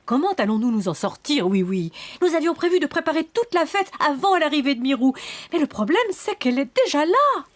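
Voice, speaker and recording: High-voiced, animated worried toy. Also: female voice, brisk. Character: worried, earnest toy friend. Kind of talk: children's cartoon dub acting.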